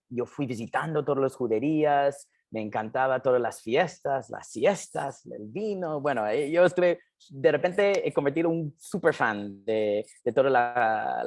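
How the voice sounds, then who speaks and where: Hombre estadounidense con acento inglés. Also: male voice, medium pitch, dry recording, studio quality. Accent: American English. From New Jersey, United States. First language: English. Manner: hesitant, many "eh" fillers and restarts. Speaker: guest, documentary filmmaker. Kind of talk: casual interview.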